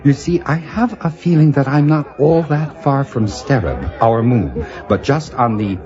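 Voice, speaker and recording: Alien newcomer played by a man: formal, earnest, very animated pitch; muffled, music and party crowd behind. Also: measured pace, lightly acted. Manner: formal, earnest, hesitant phrasing. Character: polite, bewildered male alien visitor from another world. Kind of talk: audio drama comedy acting. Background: music, party chatter.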